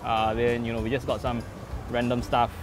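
A man's voice: mid-range voice, normal speed. Laid-back Singaporean male food host. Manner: relaxed, playful, joking. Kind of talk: casual food vlog chat. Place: outdoors at a seaside restaurant. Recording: background music under the voice.

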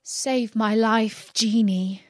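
A woman's voice: a little high, fairly even intonation, drawn-out words, dry recording, studio quality. A woman voicing an earnest young male storybook hero, courtly and pleading in manner.

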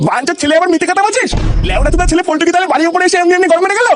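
Cartoon father male actor: blunt, scolding, high voice, very fast delivery, clearly noisy track. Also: fairly bright tone, faint music behind. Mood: angry, threatening. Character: gruff, scolding, gullible father of schoolboy in comedy cartoon. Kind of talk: crude comedy cartoon voice acting.

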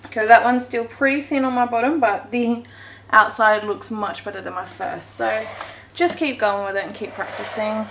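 Home-cooking vlogger, female, chatty and self-correcting, a touch of vocal fry, roomy kitchen sound. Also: fairly high pitch, fairly even intonation, moderate pace, a bit bright. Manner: chatty, self-correcting, talks while working with hands. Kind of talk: casual baking walkthrough. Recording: echoey kitchen with faint noise.

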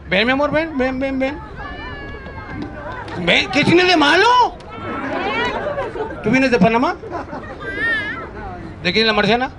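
High-pitched Mexican street clown, male. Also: sing-song, takes it slowly, pauses often. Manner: teasing, cheeky. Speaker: street clown comedian hosting a live show. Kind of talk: improvised street comedy, crowd banter. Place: outdoor public park in a city. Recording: outdoor urban noise, crowd around.

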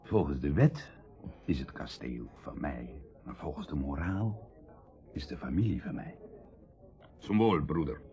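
An actor playing a proud, hard-up aristocratic bachelor in a radio drama, confiding and passionate, with emotional, dramatic delivery shifting from hushed to outbursts. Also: male voice, very deep voice, lively intonation, a little slow, long pauses, full character voice. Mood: determined. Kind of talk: Dutch radio drama acting.

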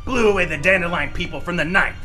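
A man's voice: high-pitched, quick pace. A man playing a gloating, diamond-crusted lizardman alien conqueror in a bombastic pulp radio serial parody. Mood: boastful menace. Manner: bombastic, theatrical villain declaiming. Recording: loud music and clamour behind.

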